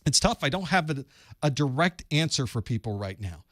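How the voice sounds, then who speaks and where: A man's voice: mid-range voice, sing-song, moderate pace, fairly bright tone, no echo, studio quality. A man hosting a live call-in study group, giving advice in a conversational way and thinking aloud. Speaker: host of a certification study group.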